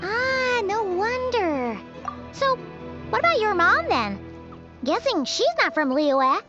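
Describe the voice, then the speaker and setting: A tiny, reassuring sidekick creature from a video game. The voice is extremely high and squeaky, with lively jumps in pitch and a chirpy, encouraging manner. The sound is slightly dull, with clear music underneath. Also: female voice, slow pace, exaggerated voice. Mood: inquisitive. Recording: game dialogue with music behind, dulled.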